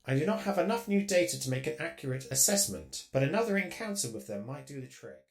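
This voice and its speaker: Man voicing a formal servant droid with swooping, creaky voice. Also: medium pitch, animated, faint music behind. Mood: informative, precise. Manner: formal, deferential, measured. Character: polite, dutiful male servant droid, formal and precise. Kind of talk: Minecraft roleplay web series voice acting.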